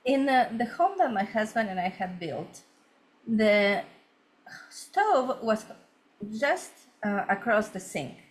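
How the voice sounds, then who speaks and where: A woman's voice: mid-range voice, very animated, slow. A woman from Ecuador, a feng shui consultant, telling her story earnestly in a conversational interview with many fillers and restarts.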